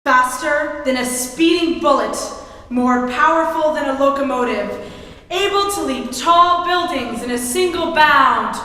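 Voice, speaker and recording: A woman's voice: sits high, unhurried, sharp tone. A woman playing a grandiose, declamatory announcer narrating a 1940s-style radio serial. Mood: rousing. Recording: echoey hall, music behind. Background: music.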